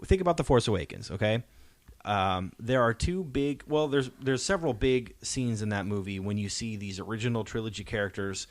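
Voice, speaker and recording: A man's voice: on the low side, fairly quick, dry recording. A man hosting a podcast, chatting casually as a fan in an enthusiastic, emphatic way that seeks agreement. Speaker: podcast host.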